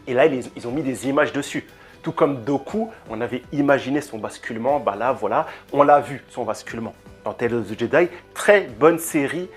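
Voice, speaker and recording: A man giving a casual, animated opinion rant as a video reviewer, hesitating and repeating words often, speaking into a handheld microphone. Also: medium pitch, a little fast, faint music behind. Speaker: YouTube reviewer.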